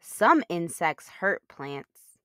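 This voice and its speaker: A woman's voice: on the low side, big pitch swings, drawn-out words, bright, forceful tone, no echo, studio quality. A woman hosting a children's read-aloud, talking to young listeners in simple, explanatory language.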